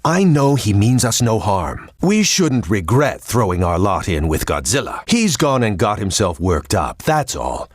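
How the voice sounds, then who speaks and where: A man's voice: fairly low pitch, very animated, measured pace, few pauses, dry recording, studio quality. An actor playing a naive, idealistic male political leader in a video game, earnest and folksy in manner. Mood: folksy, coaxing resolve.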